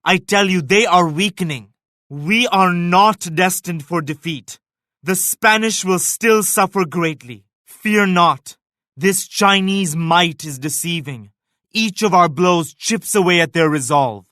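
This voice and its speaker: A proud, devout Indian emperor from a strategy game. His voice sits a little high for a man and is recorded close and dry. He speaks formally and solemnly, in measured declarations, like a pious ruler addressing a wartime ally. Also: pushed and bright, dry recording, heavily acted. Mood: resolute. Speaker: video game leader character. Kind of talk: scripted strategy game voice lines.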